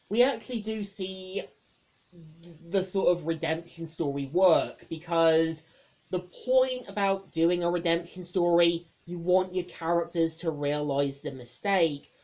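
A woman reviewing a film in a casual monologue, speaking haltingly and often repeating words. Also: on the low side, darkish tone, low-quality recording.

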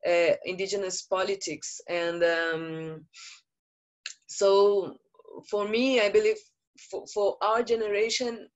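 Slow, earnest woman activist. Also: medium pitch, little pitch movement. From Brazil. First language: Portuguese. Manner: earnest, measured, with frequent pauses and fillers. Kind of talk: panel talk. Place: indoor room. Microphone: video call.

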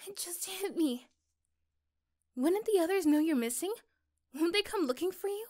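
Woman acting a high-voiced, tender, curious girlfriend. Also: lively intonation, moderate pace. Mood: surprised, concerned. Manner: soft-spoken, breathy at times, tender. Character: caring, curious young girlfriend, gentle and reassuring. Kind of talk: ASMR roleplay acting.

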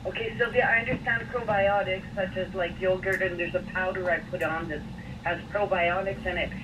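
Muffled and noisy, as over a phone line, a woman chats casually as a phone-in caller. Her voice is of middling pitch. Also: steady tone, fairly quick, distant mic. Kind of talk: casual chat.

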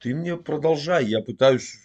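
A middle-aged man hosting a video chat at home, calmly teasing and needling the person on the other end. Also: on the high side, moderate pace, slightly rough. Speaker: video chat show host. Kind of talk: provoking chat-roulette talk. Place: home room indoors. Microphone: webcam on a video call.

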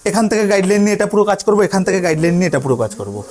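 A man teaches hairdressing in a high voice. His intonation moves widely up and down as he instructs trainees. He repeats himself often and keeps asking whether they have understood. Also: big pitch swings, rapid-fire, darkish tone. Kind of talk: hands-on tutorial to trainees.

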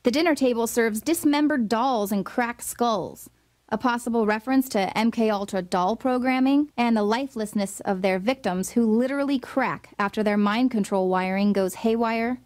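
A woman's voice: a little high, normal speed, a little mellow. A woman reading a scripted voice-over narration in a dramatic, insinuating way.

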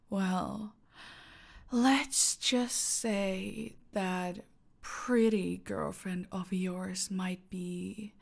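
A woman playing a smug, menacing female cartel boss in an audio roleplay, speaking deliberately and haughtily, often dropping into breathy near-whispers. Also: medium pitch, exaggerated voice. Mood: cold, menacing. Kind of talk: dramatic audio roleplay monologue addressed to a captive.

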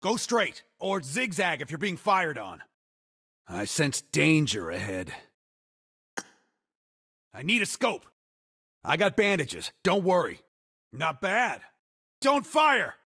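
An actor playing an excitable, slightly comic male soldier in a video game, delivering short callouts in a husky, theatrical voice. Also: on the high side, slow pace, pauses often, full character voice. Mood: dramatic, comic, then urgent, shouting.

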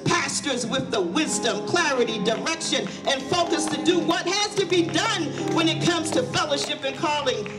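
A woman's voice: sits high, expressive, moderate pace, few pauses. A woman leading a fervent, rhythmic prayer outdoors at a podium mic, repeatedly calling on God. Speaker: prayer leader at a public gathering. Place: outdoors on city hall steps. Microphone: podium mic on a stand. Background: loud music underneath.

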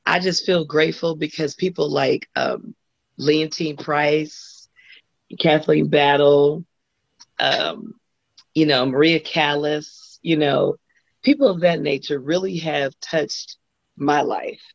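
A middle-aged woman from Los Angeles with a husky voice, talking as a guest singer on a video call. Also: low voice, steady tone, slow, no echo. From Los Angeles, USA. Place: home room indoors. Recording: dull lo-fi video call audio.

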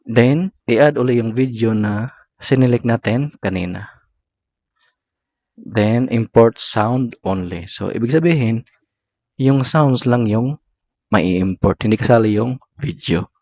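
A man's voice: medium pitch, slow, pauses often, a little mellow, dry recording. Filipino man narrating a dull-sounding tutorial. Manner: instructional, short segmented phrases. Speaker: tutorial host. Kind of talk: step-by-step app tutorial narration. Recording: slightly dull lo-fi voice recording.

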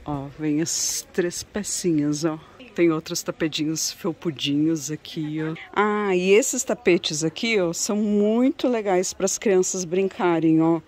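A woman's voice: fairly low pitch, measured pace, a little mellow. A woman with a Brazilian accent chatting casually and admiringly to viewers as she vlogs inside a shop, often ending on little tag questions. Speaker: vlogger showing shop goods to viewers. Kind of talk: casual shopping vlog chat. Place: inside a cluttered home textiles shop.

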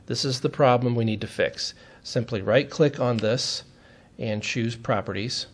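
A man's voice: mid-range voice, a little slow. A man giving a step-by-step technical demonstration in a methodical, plain-spoken way, as an instructor would.

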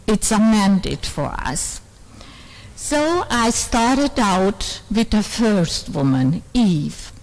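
A woman's voice: medium pitch, very animated, extremely slow, a little mellow. An older woman from Serbia gives an earnest speech to a women's conference in a large hall. She speaks into a microphone on a stand at a lectern and tells her story in non-native English.

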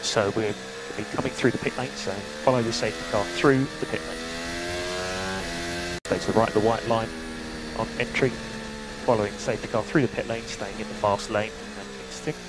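A man with a low voice speaks as a race engineer over team radio. His delivery is clipped and matter-of-fact, and loud vehicle noise runs behind the transmission. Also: fairly quick. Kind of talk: motor racing team radio instructions. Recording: noisy car radio transmission.

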